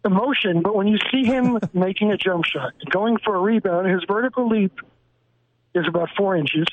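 A man calling in to a sports radio show and making a steady, earnest argument. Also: high-pitched, normal speed. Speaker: caller. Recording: tinny noisy phone line.

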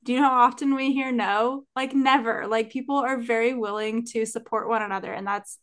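A woman's voice: fairly high pitch, fairly even intonation, brisk, fairly bright tone. A woman co-hosting a podcast, chatting casually and enthusiastically. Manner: enthusiastic, upbeat. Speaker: podcast co-host.

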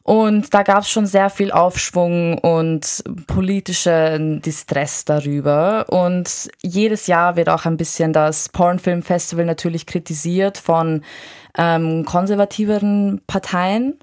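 Lower-voiced woman reporter. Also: fairly even intonation, slow, darkish tone. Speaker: radio reporter. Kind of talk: conversational studio report. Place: radio studio.

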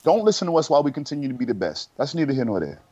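A man's voice: medium pitch, sing-song, very fast, warm tone. A man co-hosts a podcast, bragging and trading brash insults in casual banter. His voice sits mid-range. The recording is slightly muffled and lo-fi. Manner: brash, boastful trash talk. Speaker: podcast co-host.

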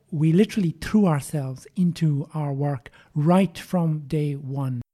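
A man hosting a podcast, interviewing a guest in formal, deliberate phrasing and a measured conversational style. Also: on the high side, mellow.

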